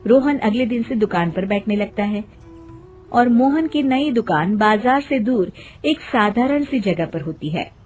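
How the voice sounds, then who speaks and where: A woman's voice: a little high, lively intonation, measured pace, a little mellow, soft background music. A woman narrator reading a scripted moral tale.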